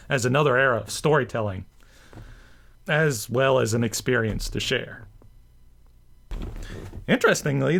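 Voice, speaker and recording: A man hosting a show with scripted commentary, speaking in wordy, bookish phrasing. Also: medium pitch. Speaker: host of a rant and commentary show. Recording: music behind the voice. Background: background music.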